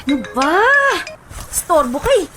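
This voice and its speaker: A woman's voice: high-pitched, expressive, measured pace. A woman acting a sulky young woman fed up with her boyfriend in a Filipino radio drama, complaining in a huffy, emphatic way. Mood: annoyed, sulky. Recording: music bed behind voice.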